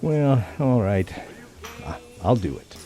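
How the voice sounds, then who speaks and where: A man's voice: medium pitch, lively intonation, slow, dark tone, soft background music. An actor playing a reluctant, doubtful everyman hero in a radio play, hesitant and plain-spoken. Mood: resigned.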